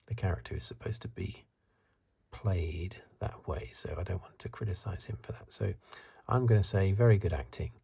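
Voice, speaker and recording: Breathy, low-voiced man, soft ASMR. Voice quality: breathy, husky. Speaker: ASMR reviewer. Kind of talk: soft-spoken whispered ASMR commentary.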